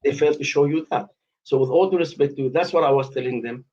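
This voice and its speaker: A man's voice: medium pitch, fast, mellow, highs cut off. A man in his late fifties from the West Bank, calling into a live debate aftershow and talking in an insistent, formal way. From West Bank, Palestine. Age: 50s. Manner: insistent, formal phrasing, repeats himself. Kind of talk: heated live discussion. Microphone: online call.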